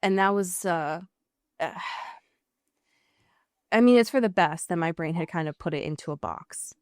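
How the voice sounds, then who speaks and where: Lower-voiced American woman podcaster, emphatic and rambling. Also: normal speed, no echo, studio quality. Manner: emphatic, sweary, rambling with self-corrections. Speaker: podcast co-host. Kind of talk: casual TV and film recap chat.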